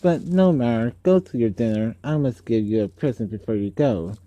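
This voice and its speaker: A man's voice: mid-range voice, expressive, normal speed, mellow, lightly acted. A man voicing a storybook villain, a sly older uncle who is cunning, wheedling and falsely genial. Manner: cunning, wheedling, self-pitying.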